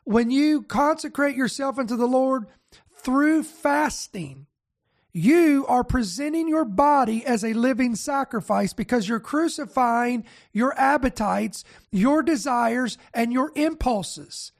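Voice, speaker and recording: A male pastor hosting a teaching broadcast, raspy and nasal with a head cold, talking casually and straight to his viewers. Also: extremely high pitch, takes it slowly. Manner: relaxed, speaks straight to viewers. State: congested from a virus.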